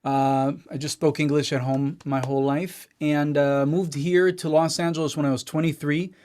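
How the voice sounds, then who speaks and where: A man from Israel with an American accent, a guest musician who talks casually and modestly, starting and restarting his sentences. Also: fairly high pitch. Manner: relaxed, self-deprecating, halting with many "uh"s. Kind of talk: casual interview talk.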